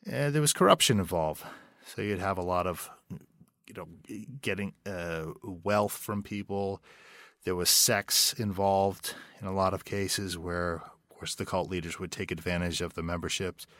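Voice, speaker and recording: A man with a very low voice talks through his answers in an interview, thinking aloud with fillers and restarts. The sound is close and dry. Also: measured pace, a bit bright, dry recording. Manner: thoughtful, searching for words with many restarts and fillers. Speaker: writer and director, interview guest.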